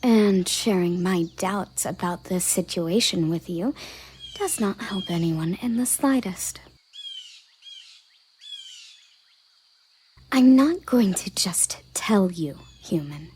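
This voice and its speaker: A woman playing a stern, haughty elven guard captain in an audio roleplay, with a soft, breathy, husky voice and a curt, condescending manner. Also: on the low side, expressive, takes it slowly, a little hiss or hum. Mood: guarded, troubled. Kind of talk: audio roleplay addressed to the listener.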